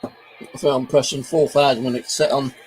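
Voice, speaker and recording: A man's voice: medium pitch, little pitch movement, moderate pace, a little mellow, husky. A man hosting a paranormal investigation, speaking informally as he comments and calls out questions. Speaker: ghost-hunting channel host. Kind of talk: casual spoken commentary, calling out to spirits.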